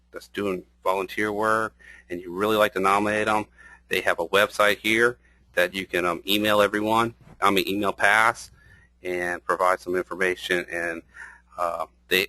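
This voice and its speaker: A man opens an online user group session and gives the announcements. His voice is low but bright and forceful, and it sounds dry with no room around it. He stops often on fillers. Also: no room sound, poor audio. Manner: hesitant, full of "uh" and "um". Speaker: user group organizer and host. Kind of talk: webinar introduction.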